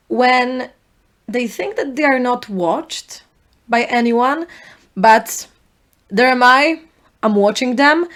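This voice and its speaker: A young woman with a Polish accent, a native Polish speaker, hosting a solo podcast in an earnest, reflective way, speaking in short halting phrases. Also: a little high. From Poland. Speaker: podcast host.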